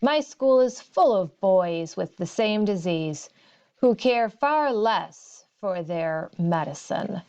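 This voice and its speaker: A wise old schoolmaster from a storybook, read by a woman in a slightly muffled recording. He speaks slowly and formally, weighing each phrase with courteous, generous authority. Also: mid-range voice, animated, a little mellow, clear, resonant tone. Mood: wise, instructive. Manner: formal, measured, sententious.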